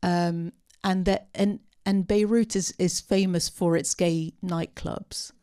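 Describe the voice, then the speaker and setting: A woman speaking unscripted at a post-film discussion, answering audience questions with many pauses, ums and restarts. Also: on the low side, fairly even intonation, mellow, clean tone. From part Syrian.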